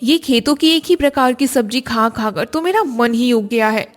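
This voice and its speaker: A woman voicing a young, practical village daughter-in-law in a Hindi cartoon, with expressive, homely conversational delivery. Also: fairly high pitch, normal speed, faint music behind, close to an everyday voice. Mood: concerned, practical. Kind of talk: Hindi cartoon story voice acting.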